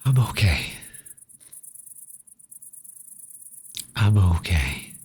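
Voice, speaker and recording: A man playing a tender, grieving orphan in a soft ASMR audio roleplay, talking slowly and haltingly to a pet cat in a breathy voice that often drops into a whisper. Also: low voice, sing-song, soft background music, exaggerated voice. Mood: gentle reassurance. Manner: slow, halting, intimate.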